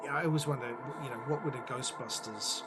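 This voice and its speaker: A man's voice: mid-range voice, brisk. Man in a podcast interview, music underneath. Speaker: interview guest, audio drama writer and director. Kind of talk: thoughtful podcast interview conversation.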